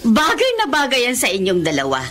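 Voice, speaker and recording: A woman's voice: on the high side, wildly swooping pitch, fast talker, over a noisy crowd. An actress playing a delighted, affectionate older mother of the bride in a Filipino radio drama, gushing and drawing out words.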